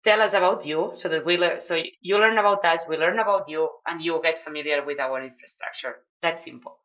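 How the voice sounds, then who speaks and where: Low-voiced woman with a Spanish accent. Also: fairly quick, fairly bright tone, highs cut off. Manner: explanatory, with frequent fillers and restarts. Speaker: project mentor. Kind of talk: online video call briefing. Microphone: video call.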